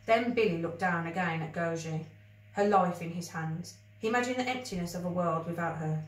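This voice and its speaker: A woman reading a children's story aloud with expressive narration. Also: on the low side, brisk. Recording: roomy, echoey, distant microphone.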